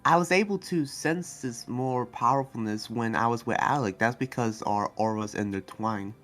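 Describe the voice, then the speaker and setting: A man's voice: mid-range voice, normal speed, a bit bright, close to an everyday voice. A man playing an earnest, protective demon fighter in an improvised anime game roleplay, talking in a hesitant, rambling way. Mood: concerned. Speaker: roleplay series player.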